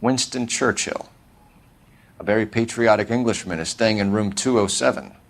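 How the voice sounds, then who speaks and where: An actor playing an upright, by-the-book American police detective with a stern manner, in a 1940s noir video game. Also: male voice, on the low side, normal speed, vocal fry. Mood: dry.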